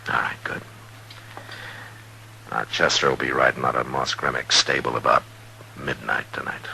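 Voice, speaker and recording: A man acting a stern, resolute western lawman in a 1950s radio drama, terse and authoritative with a gravelly voice. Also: very deep voice, level tone, moderate pace, sharp tone, heavily acted, rough recording. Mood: grave, determined. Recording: hissy, humming 1950s radio recording.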